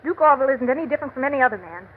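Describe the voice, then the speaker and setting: An actress playing a stubborn, devoted young ranch wife in an old radio drama, earnest and theatrical. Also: female voice, high-pitched, animated, very fast. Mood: hurt, defiant. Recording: noisy, tinny vintage radio recording.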